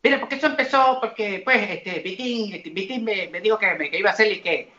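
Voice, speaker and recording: High-voiced man, halting, echoey sound. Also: bright, forceful tone. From raised in the Dominican Republic. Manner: hesitant, many fillers and restarts. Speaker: singer and comedian guest. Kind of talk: casual interview chat. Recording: echoey and dull.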